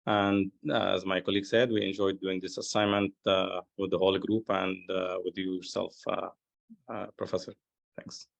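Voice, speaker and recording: A man from Lebanon gives a steady, formal self-introduction as a student. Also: low-pitched, moderate pace, gravelly, no echo. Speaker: student, head of HR.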